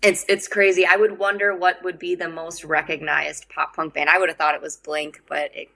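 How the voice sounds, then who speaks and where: Young woman from the Upper Midwest with a Wisconsin accent, low-ish and gravelly, easy podcast-guest chatter. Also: flat delivery, normal speed, pushed and bright. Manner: chatty, laughs often, acts out quotes. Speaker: singer and guest. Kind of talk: casual podcast chat.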